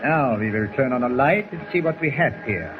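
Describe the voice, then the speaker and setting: A man's voice: mid-range voice, lively intonation, fairly quick, close to an everyday voice. Male actor as German villain, formal, icy, commanding, 1940s radio drama, thin narrow sound, light hiss. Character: menacing foreign villain doctor holding captives at gunpoint. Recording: tinny noisy 1940s radio recording.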